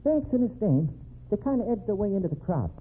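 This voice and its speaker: An actor playing a small, shabby American pickpocket, blunt and defensive, talking tough street slang in an old crime radio drama. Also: male voice, high voice, sing-song, a little fast. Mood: matter-of-fact. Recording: tinny noisy old radio recording.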